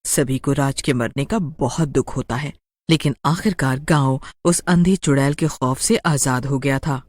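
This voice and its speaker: A woman's voice: extremely low pitch, unhurried, a little mellow, dry recording. A woman storyteller giving scripted, dramatic narration of a story.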